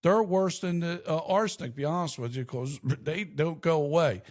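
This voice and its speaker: A middle-aged man with a slightly rough voice co-hosts a casual podcast. He sits a little high in pitch and rambles through animated stories, laughing as he goes. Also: moderate pace. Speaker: podcast co-host. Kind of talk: casual chat.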